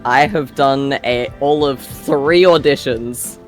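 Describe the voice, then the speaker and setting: A man with an Australian accent speaks slowly and at an easy pace, poking fun at himself and laughing easily. He chats casually as a podcast guest over faint background music. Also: medium pitch. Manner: unhurried, self-deprecating, laughs easily. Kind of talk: casual chat.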